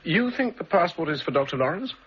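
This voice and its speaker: An actor playing an earnest British agent in a 1960s radio drama, quick to respond. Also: male voice, on the high side, sing-song, fairly bright tone, husky, full character voice. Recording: dull old broadcast recording with faint hiss.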